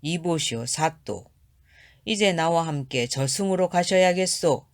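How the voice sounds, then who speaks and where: A woman's voice: low-pitched, expressive, a little slow. A woman voicing a stern, expressionless male grim reaper in a storybook tale, speaking curtly and firmly. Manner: curt, firm and unmoved.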